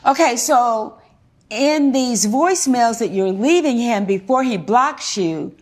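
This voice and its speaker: A woman's voice: fairly high pitch, expressive, slow. A woman presiding as a TV court judge, questioning in an emphatic, folksy way and repeating phrases for effect.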